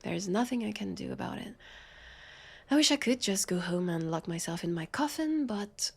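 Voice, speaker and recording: A woman with a French accent playing a sardonic, weary, centuries-old vampire police officer in an intimate audio roleplay, breathy and sighing. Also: medium pitch, animated, normal speed, a little mellow. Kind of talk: intimate scripted audio roleplay addressed to listener.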